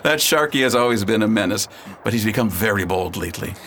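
A man's voice: lowish voice, lively intonation, quick pace, a bit bright, a little hiss or hum. A man playing a doubtful, sardonic military commandant in a radio drama, with dry, formal old-fashioned speech. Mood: concerned.

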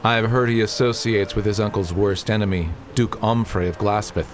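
A man playing a principled young nobleman in a radio drama, speaking formally and earnestly in a measured, argumentative manner. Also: fairly low pitch, fairly even intonation, natural voice. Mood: disapproving. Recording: clearly noisy outdoor ambience.

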